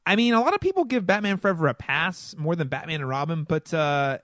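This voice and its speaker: A man's voice: a little high, animated, quick pace, a bit bright, no room sound, studio quality. A man co-hosting a podcast, chatting casually in an enthusiastic, agreeable, conversational way. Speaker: podcast co-host and film critic.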